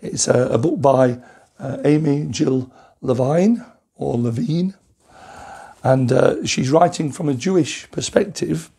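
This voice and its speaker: A man with a hoarse, croaky voice from a cough, speaking as a Methodist preacher in a rambling, thoughtful recorded sermon with many pauses and restarts. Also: medium pitch, dark tone.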